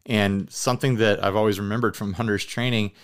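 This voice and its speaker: A man chatting casually as a podcast co-host, telling stories in an animated way and slipping into mock quotes. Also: lowish voice, fairly quick, clear, resonant tone. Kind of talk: casual chat about hobby gear.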